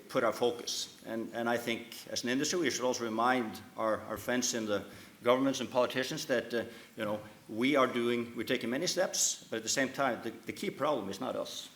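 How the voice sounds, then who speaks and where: A man speaking as a shipping company chief on a conference panel, halting, with many restarts and fillers. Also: mid-range voice, a bit bright. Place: conference hall. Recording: echoey conference room.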